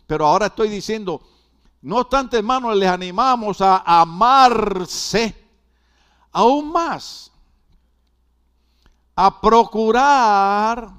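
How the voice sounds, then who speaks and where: A man's voice: sits high, clean tone. An older man from Puerto Rico with a Puerto Rican Spanish accent, preaching a sermon and asking his congregation questions. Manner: builds to loud, emphatic climaxes. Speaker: pastor.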